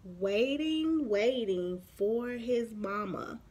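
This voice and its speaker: A woman in her thirties reading a picture book aloud expressively for young children, pausing for explanatory asides. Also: on the high side, lively intonation, warm tone. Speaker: children's story reader. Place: small room at home, indoors.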